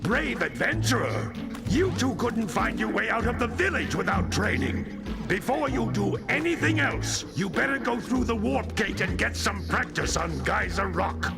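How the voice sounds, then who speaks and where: An actor playing a grumpy old sage in a cartoonish video game, a pompous, scolding mentor. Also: male voice, high-pitched, sing-song, normal speed, vocal fry, full character voice. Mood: exasperated. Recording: loud music under the voice.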